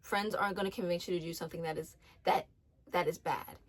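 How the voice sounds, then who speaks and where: A woman's voice: fairly low pitch, steady tone, quick pace. A twenty-year-old woman giving casual faith-based advice, earnest, with many ums, restarts and repeated phrases. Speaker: faith vlogger.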